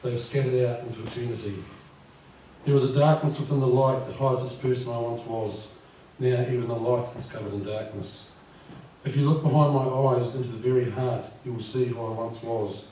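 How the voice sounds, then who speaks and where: A man in his fifties with a croaky voice, reading his poems at a live event and speaking gently and unhurriedly. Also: medium pitch, fairly even intonation, moderate pace, a little hiss or hum. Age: 50s. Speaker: poet. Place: live venue with audience. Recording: echoey room from far off.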